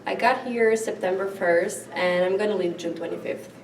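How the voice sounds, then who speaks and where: A woman's voice: medium pitch, normal speed, echoey room, recorded from a distance, a little hiss or hum, rough recording. A teenage exchange student from Italy, talking plainly about her stay. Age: teens.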